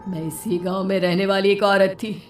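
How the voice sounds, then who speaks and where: A woman voicing a vengeful, cackling female witch ghost who sells bananas in a cartoon story, gloating and drawn out, over soft music. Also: mid-range voice, flat delivery, normal speed, lightly acted. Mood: bitter.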